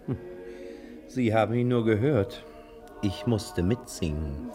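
An actor playing a put-upon, wryly pompous private detective in a crime serial, sardonic and mock-polite. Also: male voice, on the low side, lively intonation, takes it slowly, warm tone, faint music behind. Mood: sour, sardonic.

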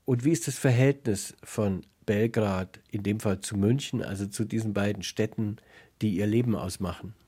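A man hosting a radio arts interview, asking questions in a reflective, deliberate way. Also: fairly low pitch, mellow, husky. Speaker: host, interviewer.

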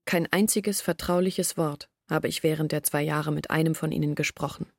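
An actress playing an earnest woman who confesses her family's failures in a reflective, measured monologue from a literary radio play. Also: low-pitched, steady tone, vocal fry, darkish tone, no echo, studio quality.

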